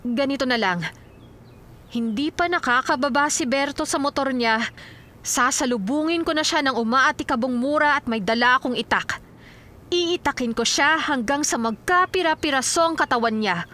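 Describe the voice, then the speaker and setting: An actress with a Filipino accent playing a devoted, sarcastic wife who fusses and simmers with jealousy in a radio drama. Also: female voice, sits high, normal speed, pushed and bright. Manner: sarcastic, fussing, feisty.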